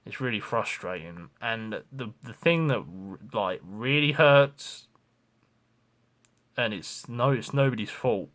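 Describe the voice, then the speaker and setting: A young man in his twenties speaks straight to camera in a rambling confessional vlog. His voice sits a little low, and he keeps restarting his sentences and swearing as he talks it through. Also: expressive, slow, a bit bright. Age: 20s. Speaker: vlogger.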